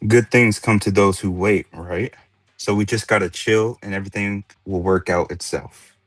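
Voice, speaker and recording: A man's voice: on the low side, steady tone, normal speed, dry recording, soft background music, close to an everyday voice. A man in an amateur anime fan dub playing a laid-back young slacker with a breezy, slangy way of talking. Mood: easygoing.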